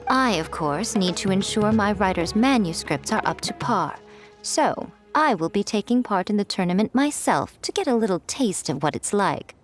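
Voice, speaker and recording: A woman voicing a sly, playful adult editor who teases others in a smooth, amused manner in a video game. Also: medium pitch, expressive, normal speed, faint music behind, natural voice. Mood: teasing. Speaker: light novel editor.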